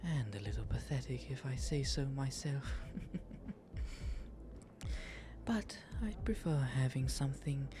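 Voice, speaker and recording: A man playing a genteel, shy, flirtatious owl demon nobleman in an ASMR roleplay, with soft, breathy, formal and wryly self-deprecating speech. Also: mid-range voice, very animated, a little slow, pauses often, noisy background. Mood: courteous, wry. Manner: refined formal diction, polite, wry, self-deprecating. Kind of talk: ASMR roleplay, intimate audio drama. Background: music throughout.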